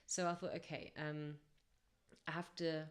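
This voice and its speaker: Brief: young female singer, low-pitched, chatty and reflective podcast guest, loose and rambling. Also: flat delivery, unhurried. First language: English first language. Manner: chatty, reflective, rambling with fillers and self-corrections. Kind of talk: casual podcast interview storytelling.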